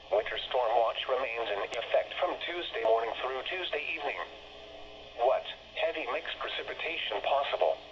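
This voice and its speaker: A synthetic male voice reads weather bulletins as a radio announcer. The pitch is mid-range and the delivery is formal and broken into segments. It comes through dull, band-limited radio reception with a faint layer of noise. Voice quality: synthetic text-to-speech voice. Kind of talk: scripted weather bulletin reading.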